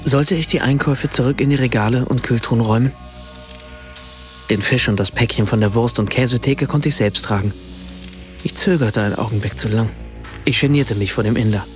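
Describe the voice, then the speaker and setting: A man's voice: medium pitch, fairly quick, soft background music, natural voice. An actor playing a reflective German writer and father, narrating his own everyday story in a scripted radio play. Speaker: first-person narrator.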